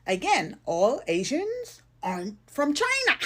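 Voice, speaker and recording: A woman's voice: high voice, huge pitch swings, takes it slowly. A woman with a Caribbean accent talking to camera, explaining her opinion emphatically with rhetorical tag questions. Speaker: content creator.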